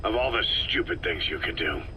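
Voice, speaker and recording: A gruff legendary mercenary commander in a video game. His voice is very low and tense, his replies quick, terse and disapproving, with faint noise behind. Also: animated, sharp tone, exaggerated voice, poor audio. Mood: skeptical, irritated. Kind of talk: scripted video game dialogue.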